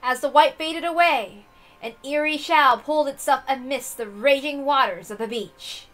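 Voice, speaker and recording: A woman's voice: high-pitched, moderate pace, a bit bright, soft background music. A woman reading a visual novel aloud as dramatic scripted narration.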